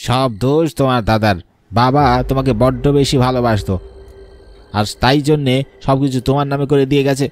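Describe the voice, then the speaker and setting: A man's voice: mid-range voice, steady tone, fairly quick, a little mellow, lightly acted. Greedy, curt villainous younger master played by a man. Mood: resentful. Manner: commanding, curt. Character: greedy, murderous younger brother and household master, menacing. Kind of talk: Bengali horror cartoon acting.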